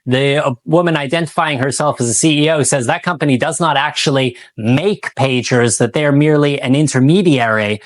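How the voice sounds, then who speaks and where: A man's voice: mid-range voice, brisk, few pauses, no room sound, studio quality. A man working as a TV news reporter, delivering a broadcast news report.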